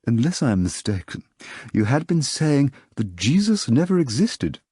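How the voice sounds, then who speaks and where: Man playing a sly deep-voiced foreign stranger with an accent, husky and insinuating. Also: sing-song, moderate pace, a little mellow, dry recording, clean studio sound. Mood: polite.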